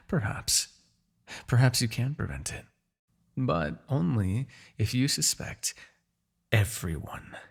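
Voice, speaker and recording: A man playing a proud, vengeful demon king in a dramatic fantasy audio roleplay, with a husky, gravelly voice and a theatrical, gloating manner. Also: medium pitch, sing-song, takes it slowly, pauses often, full character voice. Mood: gloating, menacing. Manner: theatrical, gloating, with sighs and dark chuckles.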